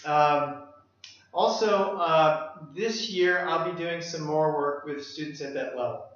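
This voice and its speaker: This is a middle-aged man with a fairly high voice, presenting his teaching work at an even pace and filling gaps with "uh". The room gives his voice a strong echo. The sound is muffled and lo-fi. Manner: measured, with frequent "uh" fillers. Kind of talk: application presentation walking through slides. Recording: echoey room, dull lo-fi sound.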